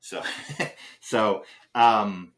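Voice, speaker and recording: A man's voice: lowish voice, steady tone, very slow, fairly bright tone. A man co-hosting a casual film podcast, rambling and chatty with lots of restarts and fillers. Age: older than his co-host. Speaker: podcast co-host.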